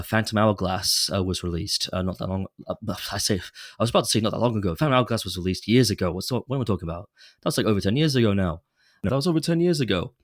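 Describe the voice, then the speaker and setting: A man's voice: low voice, dry recording, studio quality. A young man recovering from a cold, chatting casually and rambling with lots of fillers as a podcast host. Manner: rambling, many fillers and restarts. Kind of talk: casual solo chat.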